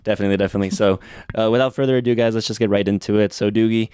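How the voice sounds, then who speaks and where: A man from the United States hosting his podcast, talking quickly in a smooth, clear voice. He hypes up his guest eagerly, repeating his praise, and the sound is close and dry. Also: fairly low pitch, fairly even intonation, darkish tone, dry recording. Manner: eager, repetitive, fan-like hyping. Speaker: podcast host.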